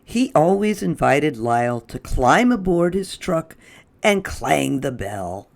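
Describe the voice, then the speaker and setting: Slow, lively, creaky children's storyteller. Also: mid-range voice. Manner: expressive, deliberate. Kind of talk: children's read-aloud narration.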